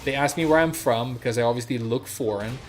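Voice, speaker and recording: A man's voice: mid-range voice, fast. A young man from Sweden telling a rambling story on his stream, full of fillers and restarts.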